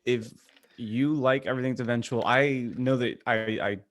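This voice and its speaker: A man's voice: medium pitch, fairly even intonation, moderate pace, fairly bright tone. A man co-hosting a podcast, chatting casually and conversationally about books with friends. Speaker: podcast co-host. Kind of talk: casual book-club podcast chat.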